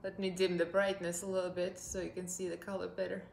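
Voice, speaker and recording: A woman's voice: on the low side, little pitch movement, fast talker, gravelly, darkish tone, reverberant, a little hiss or hum, rough recording. A woman in her forties from Russia with a Russian accent, chatting upbeat through a makeup review from her sofa. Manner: chatty and upbeat, laughs often. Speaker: beauty vlogger. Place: living room, seated on a sofa.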